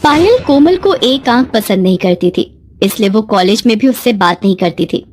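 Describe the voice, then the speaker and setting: A woman's voice: fairly high pitch, lively intonation, normal speed, darkish tone, husky. A woman reading scripted moral-story narration as the narrator.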